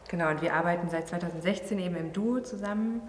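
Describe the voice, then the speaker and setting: Woman, thirty, slightly low pitch, halting casual interview talk, roomy echo, faint noise. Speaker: performer and choreographer interviewee. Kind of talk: casual interview, explaining her work. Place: large empty theatre venue. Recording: echoey hall, distant mic, faint noise.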